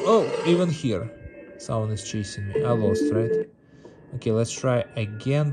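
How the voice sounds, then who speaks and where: Slow-talking man, gaming commentary. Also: mid-range voice. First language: not English. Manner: playful, thinking aloud, with sung-out sound effects. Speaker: gaming video host. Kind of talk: casual gameplay commentary.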